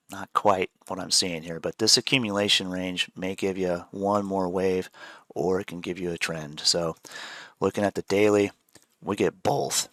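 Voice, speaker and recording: Low-voiced man with a head cold. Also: no echo. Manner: relaxed, rambling, frequent fillers. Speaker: show host, trader. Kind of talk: casual chart analysis chat.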